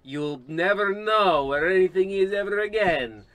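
A man's voice: sits high, animated, unhurried, a bit bright, no echo. A man playing a muddled, theatrical old woman, a rambling former teacher, in a parody of old radio drama. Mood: vengeful, theatrical.

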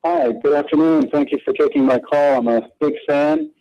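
A man's voice: fairly high pitch, normal speed. A man calling in to a radio show, politely and eagerly asking a question. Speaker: caller. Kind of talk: call-in question. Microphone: telephone. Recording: dull phone line.

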